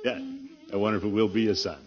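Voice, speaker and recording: An actor playing a jovial, blustery middle-aged American uncle in a 1950s radio comedy, with hearty drawn-out exclamations. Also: male voice, on the low side, fairly even intonation, natural voice. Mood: excited. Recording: dull, noisy vintage radio recording.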